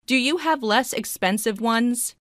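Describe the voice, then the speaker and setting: Woman reading practice phrases, kinda high voice, very dry recording. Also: a bit bright, no echo. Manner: clear, deliberate, each phrase repeated three times. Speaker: dialogue reader for English learners. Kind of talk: slow scripted phrase repetition.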